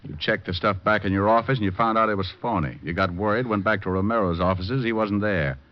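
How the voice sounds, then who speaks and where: An actor playing a wry, cocky, unflappable private detective in an old-time radio drama, glib and sardonic. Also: male voice, fairly low pitch, fast, natural voice. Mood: calm, needling. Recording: dull old radio recording, faint music.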